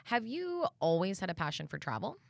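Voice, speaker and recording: Woman hosting a relaxed interview. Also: mid-range voice, vocal fry, no echo. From Canada. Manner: friendly, quick reactions, laughs easily. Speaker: interviewer, host. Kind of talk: casual interview.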